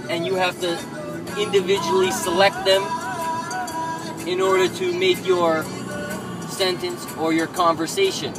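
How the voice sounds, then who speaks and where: Man, thirties, high voice, lecturing rant from the driver's seat, dash cam, music under it. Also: poor audio. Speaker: vlogger. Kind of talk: ranting vlog while driving. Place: inside a moving car. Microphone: camera mounted on the dashboard.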